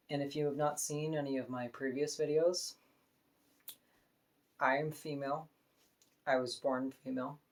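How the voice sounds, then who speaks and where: A woman with a deep voice, talking frankly and casually to camera in an opinion vlog, now and then laughing softly. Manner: frank, conversational, with soft laughs. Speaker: vlogger.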